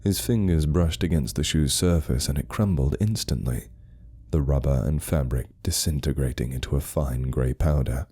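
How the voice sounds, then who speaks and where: Very deep, dark-voiced male horror narrator. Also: moderate pace, slightly rough. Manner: grave, suspenseful storytelling. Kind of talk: scripted horror story narration.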